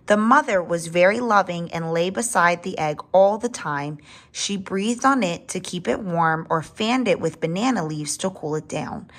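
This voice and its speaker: A woman's voice: lowish voice, moderate pace, fairly bright tone. Woman reading a children's storybook aloud expressively. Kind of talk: read-aloud storybook narration.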